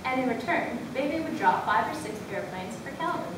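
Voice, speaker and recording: A woman's voice: expressive, moderate pace. A woman narrating a scripted story in an amateur readers' theatre performance. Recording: distant, very echoey room, noisy with rumble.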